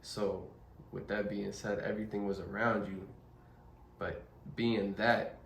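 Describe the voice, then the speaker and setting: A man's voice: on the low side, flat delivery, unhurried, gravelly, slight background noise. A man hosting an interview, asking questions and summing up the guest's answers back to him. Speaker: interviewer host. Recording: roomy, distant mic with faint ambience.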